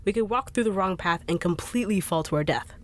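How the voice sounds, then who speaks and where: A woman's voice: medium pitch, lively intonation, fast. A woman voice actor performing a no-nonsense character in an acted audio roleplay, blunt and sarcastic.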